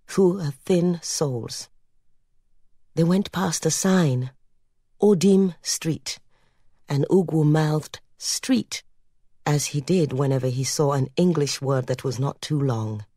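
Female audiobook narrator, deep mellow voice, literary storytelling with a measured, expressive delivery. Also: husky, dry recording, clean studio sound.

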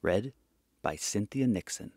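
A man with a fairly low voice delivers a scripted audiobook announcement. The sound is dry, with no room around the voice. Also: lively intonation, unhurried, darkish tone, breathy edge, dry recording.

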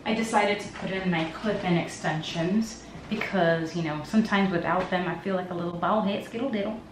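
A woman's voice: on the low side, a little fast, noisy background. A woman in her twenties chatting casually to camera for a vlog, with a playful, dryly humorous manner. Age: 20s. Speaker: vlogger. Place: indoors, small bare-walled apartment rooms. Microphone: camera's built-in microphone, a short distance away. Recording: roomy echo from bare rooms, music underneath.

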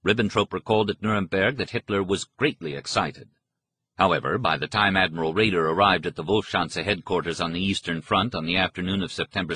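A man reads a history audiobook in a measured, precise, formal manner. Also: sits low, sharp tone, slightly rough, dry recording. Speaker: audiobook narrator. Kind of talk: scripted narration of a history book.

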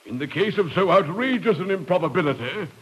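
Pompous blustering English gentleman, swooping pitch. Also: animated, a little fast, low-quality recording. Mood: outraged, blustering. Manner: spluttering, stammering, pompous. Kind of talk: 1950s radio drama acting. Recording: hissy 1950s radio recording.

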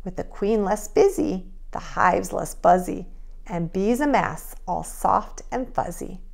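A woman preschool teacher reading and instructing young children in an expressive, child-directed way. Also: mid-range voice, unhurried, gravelly. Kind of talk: children's read-aloud and drawing lesson.

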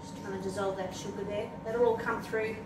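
A woman's voice: mid-range voice, level tone, brisk. A middle-aged woman, giving a casual, step-by-step cooking demonstration while busy at the stove in a home kitchen. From Australia. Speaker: home cook presenting a recipe. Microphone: camera mic a few steps away. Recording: roomy, distant, noisy kitchen camera sound. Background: pots and dishes clattering, sizzling.

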